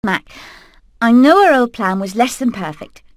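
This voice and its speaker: Game casting: female hen played by a woman, fairly high pitch, purposeful escape leader, earnest and resolute. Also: expressive, moderate pace, a little hiss or hum, natural voice. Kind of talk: animated video game acting.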